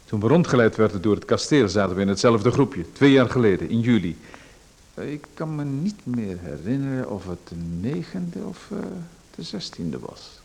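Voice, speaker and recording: An actor playing a sinister, overly familiar visitor in a radio play, with a calm, insinuating, politely evasive way of talking. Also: male voice, medium pitch, normal speed. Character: sinister, overly familiar male visitor who watches a playwright.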